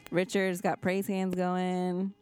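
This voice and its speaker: A woman's voice: fairly low pitch, completely flat delivery, takes it slowly, darkish tone, smooth, soft background music. A woman co-hosting a live show in casual, playful banter with a wry, joking way of talking. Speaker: co-host of a live-streamed show.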